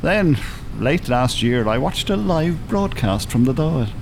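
A pompous old dublin fella, world weary and wordy, really lively up and down voice, bit of background noise. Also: male voice, on the high side, unhurried, creaky, exaggerated voice. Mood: indignant, pontificating. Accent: Dublin Irish. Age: 70s. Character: eloquent, cantankerous, world-weary older Dublin gentleman. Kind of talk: radio drama acting.

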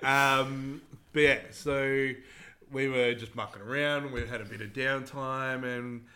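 A man's voice: medium pitch, steady tone, takes it slowly, sharp tone. Young Australian male co-host, laid-back, jokey asides. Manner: laid-back, laughs easily, mock-serious asides. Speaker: podcast co-host. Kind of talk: casual chat.

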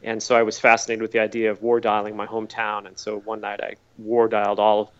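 A middle-aged man from Massachusetts, a podcast guest telling stories at a relaxed pace and often repeating words as he thinks. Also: fairly low pitch, quick pace, highs cut off. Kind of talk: conversational interview answers.

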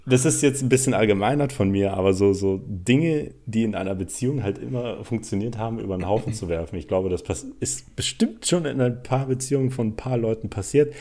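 A man's voice: on the low side, fairly quick, few pauses, dark tone. A man, co-hosting a casual podcast, telling stories in a colloquial, sweary, ranting way and acting out quotes. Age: 20s. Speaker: podcast co-host. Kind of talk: casual podcast banter and storytelling.

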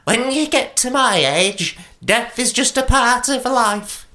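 A man voicing an elderly woman who is calm, blunt and matter-of-fact in a comic story. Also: extremely high pitch, takes it slowly, voice pushed up, heavily acted. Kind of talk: comic amateur story reading.

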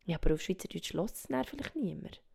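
A Swiss woman speaking German with a Swiss German accent, hosting a reflective solo podcast, thinking aloud softly and often dropping to a whisper. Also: fairly low pitch, lively intonation, fast, vocal fry, slightly rough. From Switzerland. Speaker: podcast host. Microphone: home microphone.

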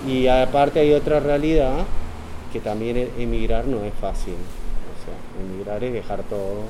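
A man, explaining his views in a measured, reflective interview. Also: mid-range voice, fairly even intonation, noisy background.

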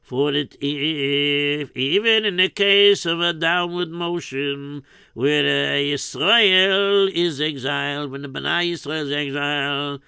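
A man's voice: fairly high pitch, takes it slowly, gravelly, pushed and bright, smooth, no room sound, exaggerated voice. A man doing a humble young chanting student. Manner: sing-song chanting, hesitant stammering replies. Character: humble young male yeshiva student, devout singer.

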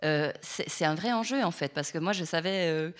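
A woman's voice: on the low side, normal speed. A woman interviewing a guest, asking her questions in a searching, hesitant way.

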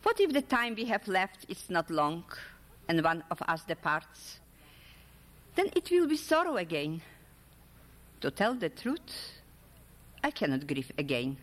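A woman's voice: fairly low pitch, big pitch swings, measured pace, pauses often. A woman voicing an earnest, hesitant older man in love, in a literary story reading. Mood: weary, fearful. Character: silver-haired widowed older man, earnest, hesitant suitor. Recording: echoey hall with long reverb.

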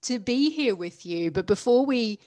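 A woman in her thirties hosting her own podcast, a little nasal and stuffy from the flu, talking frankly and unscripted with a quick laugh now and then. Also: a little high, animated, a little mellow, no echo. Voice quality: slightly nasal. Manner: frank, tells it like it is, laughs often. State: stuffy nose after the flu. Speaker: podcast host. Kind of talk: unscripted business podcast monologue.

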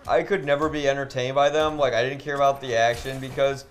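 A man's voice: medium pitch, flat delivery, fast, a little hiss or hum. A man co-hosting a casual podcast chat, giving blunt, dismissive opinions and swearing freely while playing a game. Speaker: podcast co-host.

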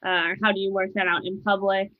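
A woman co-host of a podcast, asking the guest careful, unhurried questions over a video call. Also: medium pitch, level tone, phone-quality sound. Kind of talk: interview questions.

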